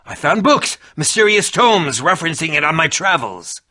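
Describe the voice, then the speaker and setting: A man voicing an excitable, eccentric and pompous adventurer-scholar for a video game, theatrical and grandiose, with dramatic pauses and sudden outbursts. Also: a little high, no room sound, studio quality. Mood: intrigued, grandiose. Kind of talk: animated video game character acting.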